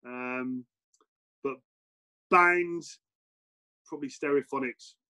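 A middle-aged English man, a former footballer and coach, answering interview questions in a relaxed, reflective way with many fillers and restarts. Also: medium pitch, slow. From England.

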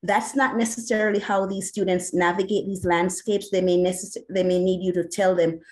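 A woman's voice: mid-range voice, steady tone, moderate pace, darkish tone. A woman with a Jamaican accent, an educator answering an interview question earnestly and passionately, with many "you know" fillers. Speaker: educator and academic.

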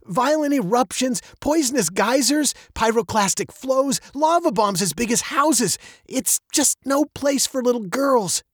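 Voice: sits high, expressive, a little slow, few pauses, no echo, studio quality, pitched above the performer's own voice. A storyteller voicing a protective, easily flustered father with a stern, emphatic manner in a children's tale.

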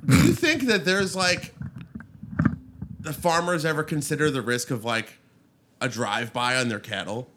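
A man from northwest Indiana in casual podcast chat, animated and quick to laugh. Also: mid-range voice, a little hiss or hum.

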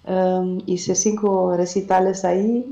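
A German-accented woman speaks slowly and hesitantly, pausing to find her words. Her voice is mellow and low in brightness. The sound is muffled and echoes as if in a room. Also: little pitch movement. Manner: hesitant, searching for words, soft-spoken. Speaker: guest singer-songwriter. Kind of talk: casual interview.

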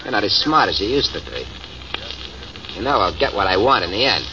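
A man's voice: fairly low pitch, animated, brisk, a crowd in the background, lightly acted. An actor playing a ruthless, greedy male gang boss in an old radio drama, speaking in a menacing, threatening way. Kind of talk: 1940s radio acting. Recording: distant, lo-fi, noisy old radio recording.

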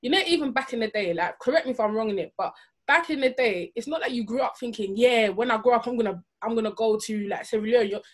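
A chatty woman on a podcast, loose and slangy, laughing through her words. Her voice sits a little high. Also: fast talker. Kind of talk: casual chat.

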